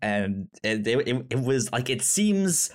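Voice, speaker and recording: A man co-hosting a podcast in casual chat, halting and often repeating words as he restarts. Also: mid-range voice, sing-song. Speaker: podcast co-host.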